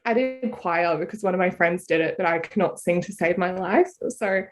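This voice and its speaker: A woman's voice: lowish voice, moderate pace. Chatty Australian woman guest. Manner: chatty, frequent "like" fillers, laughs easily. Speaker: podcast guest. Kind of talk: casual conversational interview. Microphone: video call.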